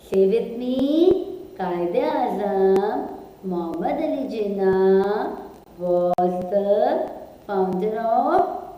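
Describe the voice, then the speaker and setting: A woman teaching young students, drilling questions and answers in a slow, sing-song call-and-repeat manner. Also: medium pitch, expressive, lo-fi sound. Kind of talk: classroom lesson for young students. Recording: echoey room with long reverb.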